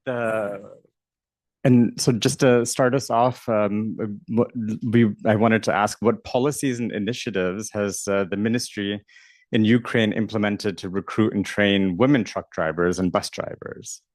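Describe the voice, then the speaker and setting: A man moderating a conference panel, introducing speakers in a loud, projecting voice. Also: lowish voice, steady tone, a little slow, no room sound.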